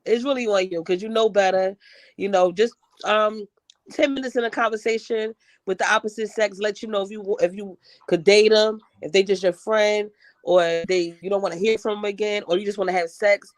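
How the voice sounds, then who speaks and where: A woman in her forties speaks emphatically and casually, dropping street slang. Her voice sits at an ordinary pitch, and the recording is dry and close. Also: steady tone, brisk, no room sound. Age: 40s. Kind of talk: casual group chat.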